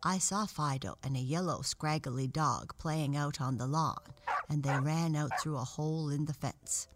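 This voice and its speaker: Woman reading a low, confident toy tracker doll. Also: a little slow, a little hiss or hum. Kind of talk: children's storybook character voice.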